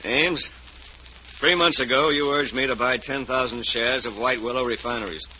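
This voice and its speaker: Menacing male investor who lost everything, very sharp voice, noisy vintage radio sound. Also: mid-range voice, moderate pace. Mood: bitter, accusing. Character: bitter, ruined male investor, quietly menacing. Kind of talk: 1940s radio acting. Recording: dull, noisy 1940s radio recording.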